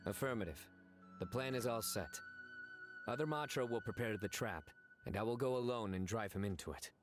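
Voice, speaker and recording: A stern, deadpan desert lawman played by a man for an anime game, low in pitch. He speaks in terse, measured, confident lines, with game music playing behind him. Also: close to an everyday voice. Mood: grave, determined. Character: grave, stern adult male desert law enforcer, terse and confident. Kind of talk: anime video game acting.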